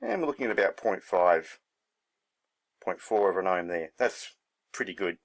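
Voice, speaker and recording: A man's voice: low voice, sing-song, normal speed. A man giving a casual hands-on demonstration, thinking aloud in a laid-back, unscripted way as he works. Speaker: hobbyist presenter.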